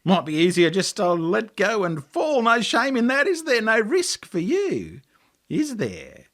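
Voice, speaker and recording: A menacing Australian tempter from an audiobook tale, acted out by a male narrator. He taunts in a mocking, slangy way, with the voice leaping up high. His delivery swings widely as he needles his target. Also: wildly swooping pitch, a little slow, fairly bright tone, full character voice. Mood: mocking, goading. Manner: mocking, goading, slangy, pitch leaping upward.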